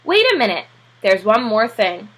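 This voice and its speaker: A woman's voice: mid-range voice, big pitch swings, normal speed, fairly bright tone. A woman voicing a proud, earnest man of the house in a storybook, insistent and slightly huffy. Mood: huffy, firm.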